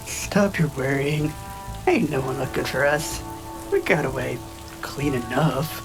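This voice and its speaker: A woman playing a tough, blunt orc giantess in a noir audio drama, slangy and unhurried. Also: very deep voice, over music, low-quality recording. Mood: firm, irritated. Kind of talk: scripted audio drama dialogue.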